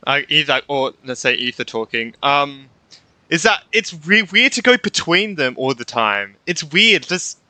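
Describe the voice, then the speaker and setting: A young man giving casual, informal, exclamatory live commentary as a gaming streamer, halting as he thinks, close on the microphone. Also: fairly high pitch, very animated, bright, forceful tone, no room sound.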